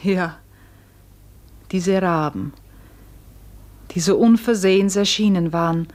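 An actress playing a farmer's wife who recounts an uncanny event with wonder, in measured, literary radio play narration. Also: female voice, fairly low pitch, a little mellow. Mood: calm wonder. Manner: measured, literary, deliberate delivery.

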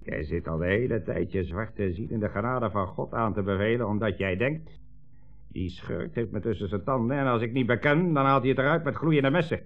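An actor playing a bullying communist police commissioner in a 1960s radio drama, mocking, curt and domineering. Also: male voice, on the low side, brisk, natural voice. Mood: mocking menace. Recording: dull 1960s radio recording, music behind.

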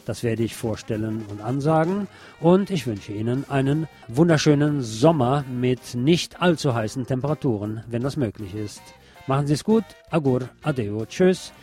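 Mature male radio host, medium pitch, deliberate review delivery with music underneath. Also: expressive, warm tone. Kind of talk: spoken review on a radio show.

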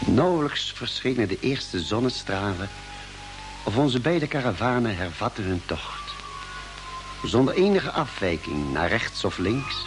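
A man acting as an expedition member narrating his journey in measured, literary mid-century radio drama style. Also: lowish voice. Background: music.

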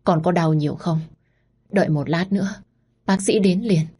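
Casting: woman voicing a gruff old landlord dad, low husky voice, commanding. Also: moderate pace, mellow. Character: stern old rich landowner father, doting.

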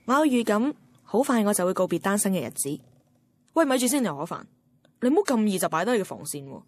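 An actress playing a chatty, self-doubting young single woman, confiding in expressive Hong Kong Cantonese in a radio drama. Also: mid-range voice, fast talker, no echo. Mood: wistful, confiding. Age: young adult. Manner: confiding, expressive inner monologue. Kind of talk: radio drama narration and dialogue.

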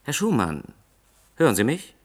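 An actor playing a dutiful, discreet presidential aide, formal and polite, in a 1960s German radio drama. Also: male voice, medium pitch, wildly swooping pitch, moderate pace.

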